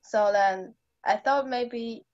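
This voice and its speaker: A teenage high school girl talking in an online English lesson, halting and searching for simple words. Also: darkish tone, dry recording, muffled recording. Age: teens. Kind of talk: conversation practice in a lesson. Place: small indoor room at home. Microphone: laptop webcam on video call.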